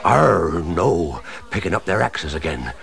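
A man's voice: sits low, big pitch swings, measured pace, vocal fry, a bit bright, voice pushed down, full character voice. A man voicing a pompous, indignant old male English oak tree, blustering and grumbling in a storybook monologue. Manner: blustering, grumbling, swings from lofty to panicky.

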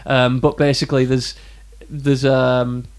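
A man's voice: mid-range voice, level tone, unhurried, clear, resonant tone. A man in his thirties with an ordinary mid-range voice. He chats casually on a podcast, with wry asides and frequent laughs. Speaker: podcast co-host. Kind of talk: casual chat.

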